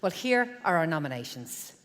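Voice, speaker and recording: A woman's voice: deep voice, very animated, normal speed, over loud music. A woman TV presenter reading a scripted introduction. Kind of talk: scripted link. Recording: reverberant hall.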